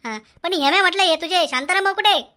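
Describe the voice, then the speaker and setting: A man's voice: very high voice, rapid-fire, pushed and bright. A man voicing a cocky, wisecracking member of a cartoon vigilante gang, teasing and swaggering. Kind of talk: Marathi comedy cartoon dialogue.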